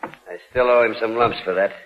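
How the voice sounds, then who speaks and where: An actor playing a sneering, tough American thug in a 1940s radio crime drama. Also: male voice, on the low side, normal speed, natural voice. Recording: dull, noisy vintage radio recording.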